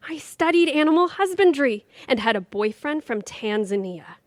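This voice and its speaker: A woman's voice: high-pitched, lively intonation, normal speed, no echo, studio quality. An actress playing an earnest, eager young woman unsure of herself in a comedic audio play. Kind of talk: comedic audio theatre acting.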